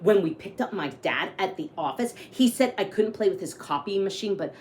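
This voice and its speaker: A woman's voice: mid-range voice, animated, moderate pace, a little mellow, a little hiss or hum, lightly acted. A woman voicing a grumpy, aggrieved young boy who narrates his bad day in a storybook, with emphatic complaining. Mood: sulky.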